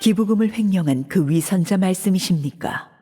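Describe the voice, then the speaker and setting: A woman voicing a cold, composed female leader in a video game, speaking in a measured, formal, controlled way. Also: fairly low pitch, warm tone, breathy edge. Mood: cool. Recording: reverberant game audio.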